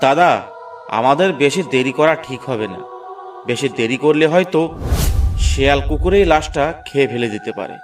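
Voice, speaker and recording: A man's voice: mid-range voice, normal speed, long pauses, distant mic, faint music behind, natural voice. A man voicing a dutiful, stubborn village husband in a cartoon, speaking firmly and earnestly. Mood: firm resolve. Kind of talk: cartoon voice acting.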